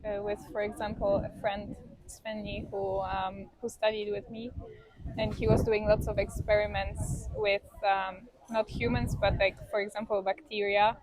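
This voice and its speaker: A woman's voice: mid-range voice, little pitch movement, a little slow, a little mellow, low-quality recording. Reflective woman. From Poland. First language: Polish. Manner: hesitant, thinking aloud. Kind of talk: casual reflective conversation.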